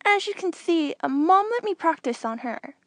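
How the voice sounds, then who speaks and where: Female reader as thoughtful young boy in a recorded message, polite and bashful, high voice, close dry sound. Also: expressive, moderate pace, dry recording. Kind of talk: audiobook character reading.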